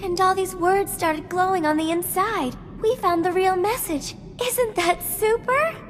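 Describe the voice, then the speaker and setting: Bubbly girly hologram assistant, really high voice, reverby, music behind, game style. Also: unhurried. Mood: perky, excited. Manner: perky, gushing. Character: bubbly, girlish, adoring female holographic assistant. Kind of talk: scripted video game acting.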